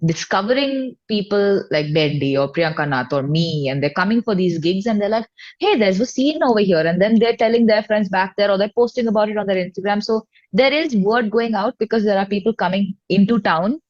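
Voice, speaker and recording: A woman's voice: medium pitch, animated, fairly quick, darkish tone, dry recording. A woman from Hyderabad with an Indian accent, giving candid, casual and emphatic interview answers as a guest musician. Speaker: guest, indie singer-songwriter. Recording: dull, lo-fi, dry audio.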